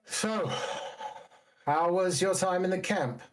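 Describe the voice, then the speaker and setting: A man voicing a courteous female firbolg camp leader in a tabletop game, measured, formal and gracious. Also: sits high, pitched above the performer's own voice.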